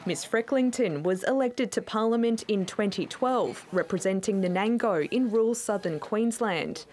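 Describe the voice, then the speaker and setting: Aussie woman news reporter reading a scripted tv story, medium pitch. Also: lively intonation, normal speed, darkish tone, slight background noise. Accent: Australian.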